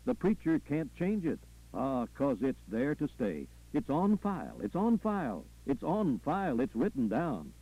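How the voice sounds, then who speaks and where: A man's voice: medium pitch, huge pitch swings, unhurried, warm tone, slightly rough, voice pushed up, full character voice, poor audio. A man performing a fervent preacher delivering a rhythmic, folksy call-and-response funeral sermon full of repetition. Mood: stern, emphatic. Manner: folksy, rhythmic repetition with punctuating "aha" and "ah, well".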